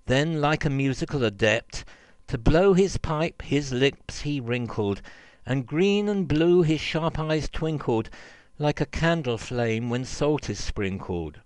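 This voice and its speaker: A man reading a children's verse story aloud in an expressive, theatrical and rhythmic way. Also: medium pitch, slow pace, smooth, no room sound. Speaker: reader, storyteller.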